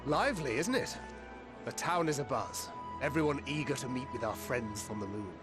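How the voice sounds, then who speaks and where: An actor playing a polite, measured young man in a game cutscene. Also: mid-range voice, lively intonation, natural voice. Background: game soundtrack music.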